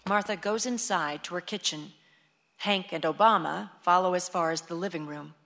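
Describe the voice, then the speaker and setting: A woman's voice: on the low side, normal speed, creaky, fairly bright tone, long reverb tail. A woman reading scripted stage directions as the narrator of a radio play.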